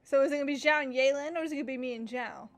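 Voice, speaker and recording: A woman's voice: sits high, racing. A woman giving casual, informal playthrough commentary, thinking aloud as she reacts to a game. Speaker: gamer reacting to cutscenes.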